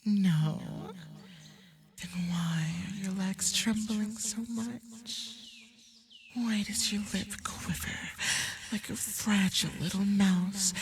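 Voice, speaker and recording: A woman voicing a menacing, sadistic male fox spirit in an ASMR roleplay, taunting prey in a breathy, half-whispered manner. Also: mid-range voice, slow pace, background noise, natural voice. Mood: mocking. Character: menacing femboy kitsune, sadistic forest predator mocking prey.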